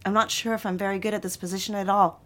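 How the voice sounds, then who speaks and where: Woman acting young female secretary, earnest, ambitious, emotionally wounded, expressive, medium-pitched, audio drama. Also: fast talker, natural voice. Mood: hesitant, hurt. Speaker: actress narrating and playing lead. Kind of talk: dramatized first-person narration and dialogue.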